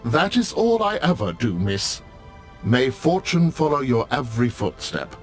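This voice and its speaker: An actor playing a dutiful, courteous attendant who speaks formally and ornately in a video game. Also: male voice, mid-range voice, big pitch swings, unhurried, faint music behind. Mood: courteous, solemn.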